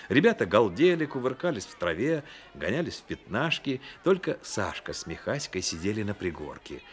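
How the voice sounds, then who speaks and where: A man narrating a radio play as a dramatic storyteller, measured and expressive. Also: fairly low pitch.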